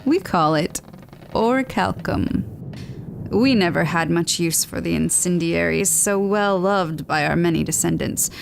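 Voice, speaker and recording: Ancient warrior queenly woman, low pitch, gravelly, solemn, imperious, formal, audio drama. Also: unhurried, slight background noise. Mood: solemn, proud. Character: ancient, proud, battle-scarred warrior woman of a lost city. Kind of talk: audio adventure drama acting.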